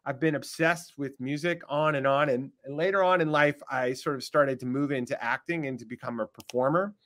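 A middle-aged man from North Carolina, a composer guest, telling stories in a relaxed, easygoing podcast chat. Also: mid-range voice, fairly quick. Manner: relaxed, storytelling, laughs easily. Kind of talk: casual podcast interview.

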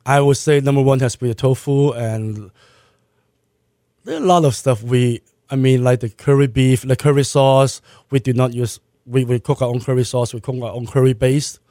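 A man's voice: mid-range voice, fairly even intonation, moderate pace, dark tone, no echo, clean studio sound. A man from Malaysia raised in Hong Kong, a restaurant owner answering interview questions plainly, often restarting his sentences. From born in Malaysia, raised in Hong Kong.

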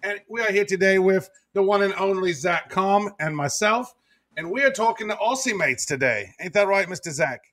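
A man's voice: high voice, lively intonation, moderate pace, fairly bright tone. A man hosting a podcast, teasing and joking in casual banter. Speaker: podcast host.

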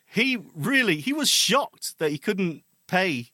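A man's voice: high voice, big pitch swings, slow pace, pushed and bright, no room sound, studio quality. A man from the UK co-hosting a podcast from a spare room at home, chatty and self-deprecating. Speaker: podcast co-host. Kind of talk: casual chat. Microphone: remote recording.

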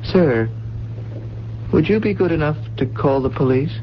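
An actor playing a distraught, courtly man confessing to murder in a radio drama, speaking politely and haltingly. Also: fairly high pitch, animated. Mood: pleading, earnest. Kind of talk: 1970s radio drama acting. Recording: muffled vintage radio broadcast, faint hum.

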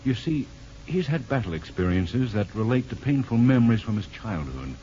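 An actor in a 1950s radio drama playing a calm, kindly hospital doctor with a measured, gently probing manner. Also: male voice, medium pitch, natural voice. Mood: calm, explanatory. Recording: muffled, noisy old radio broadcast.

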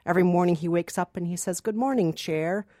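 A woman's voice: on the low side, quick pace, warm tone, dry recording, studio quality. A woman co-hosting a film review podcast, talking casually with a blunt, emphatic conversational manner. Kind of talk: casual movie review chat.

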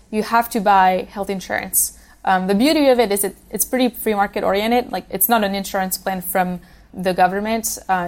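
A young woman from Switzerland speaks as a podcast guest, chatting easily and filling her pauses with ums and you-knows. Her pitch sits in a middle range. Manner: conversational, uses fillers like um and you know. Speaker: guest, policy program manager. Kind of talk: casual interview talk.

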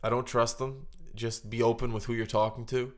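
A man's voice: medium pitch, flat delivery, moderate pace. A man co-hosting a podcast in casual comedic banter, riffing on his opinions in a mock-earnest way. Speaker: podcast co-host.

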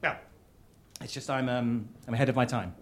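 A man's voice: mid-range voice, steady tone, normal speed, close to an everyday voice. A man acting in a live audio comedy as a self-important, misunderstood writer, earnest and petulant, with a hesitant delivery. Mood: hesitant, self-pitying. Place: live on stage at a festival venue.

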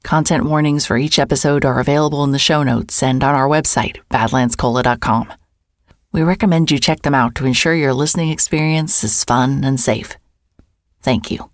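A woman's voice: rumbling low, steady tone, normal speed, no room sound, studio quality. A woman reading a scripted content advisory as an announcer.

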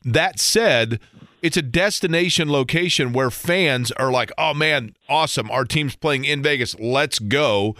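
Medium-pitched man hosting, dry studio sound. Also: a little slow, pushed and bright, no room sound. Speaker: sports radio host. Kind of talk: conversational interview questioning.

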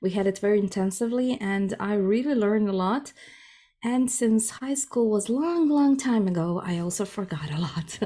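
A woman's voice: medium pitch, normal speed, warm tone. Chatty, giggly female interview guest, Croatian accent, casual conversational delivery, mid-range voice.

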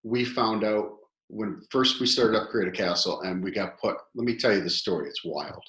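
Deep-voiced man in his fifties, roomy sound. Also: moderate pace. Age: 50s. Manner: animated, rambling storyteller with many fillers. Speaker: guest, toy company founder. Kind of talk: casual interview storytelling. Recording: echoey room.

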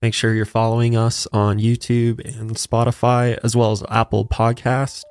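A man's voice: medium pitch, little pitch movement, normal speed, darkish tone, clear, resonant tone, dry recording, studio quality. A man co-hosting a podcast, giving a sign-off plug to listeners. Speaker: podcast co-host.

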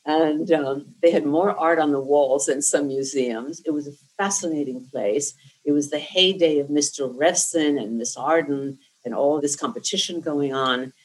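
A woman's voice: deep voice, normal speed, a little mellow, poor audio. Reflective woman in her sixties recounting her life. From New Jersey. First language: Lithuanian. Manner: articulate, reflective storyteller. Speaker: interviewee. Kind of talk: oral history interview.

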